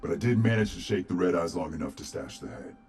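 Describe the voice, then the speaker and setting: A man's voice: deep voice, lively intonation, fast talker, loud music behind the voice. A man playing a tough, wry robotic resistance fighter in a game, laconic and dry. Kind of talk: video game cutscene acting.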